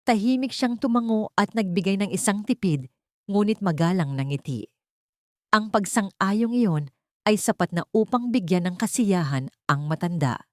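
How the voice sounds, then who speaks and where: A woman's voice: fairly low pitch, darkish tone, no room sound, clean studio sound. A woman reading scripted, dramatic narration of a love story as a storyteller.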